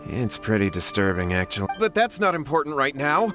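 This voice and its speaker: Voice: mid-range voice, sing-song, a little fast, over music, close to an everyday voice. An actor voicing an anxious young villager in a video game, earnest and easily flustered. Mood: worried. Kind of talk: video game character acting.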